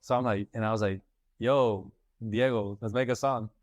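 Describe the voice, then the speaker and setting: A man's voice: on the low side, fairly even intonation, normal speed, dry recording. A young man, a rapper guest, telling stories in loose casual chat full of slang. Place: studio room.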